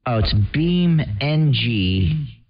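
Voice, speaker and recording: A man's voice: mid-range voice, lively intonation, extremely slow, soft background music. An American man chatting casually over two-way radio, hesitant and often searching for his words. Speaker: CB radio operator. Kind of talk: casual chat about video games. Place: on-air CB radio transmission. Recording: dull, muffled CB radio.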